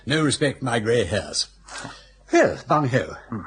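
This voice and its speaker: An actor playing a jaunty, meddling older English gentleman with husky, breezy upper-class banter in a radio drama. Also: mid-range voice, big pitch swings, a little slow, full character voice. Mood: breezy, convivial.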